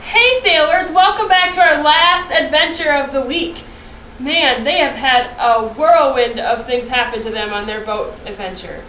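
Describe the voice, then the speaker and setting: A woman's voice: high voice, a little slow, bright, forceful tone. A young woman hosting a children's puppet show, addressing kids between scenes from a little way off the mic indoors. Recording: roomy echo, distant mic, faint noise.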